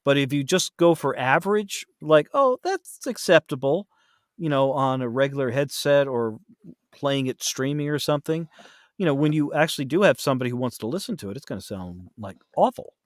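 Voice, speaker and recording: A man's voice: medium pitch, animated, brisk, no room sound, studio quality. A man chatting casually as a podcast guest, telling anecdotes and acting out the remarks he quotes.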